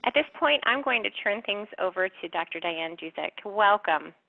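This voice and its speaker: A woman moderating a webinar, giving a brisk, formal introduction. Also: mid-range voice, lively intonation, gravelly. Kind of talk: scripted introduction. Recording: tinny phone line.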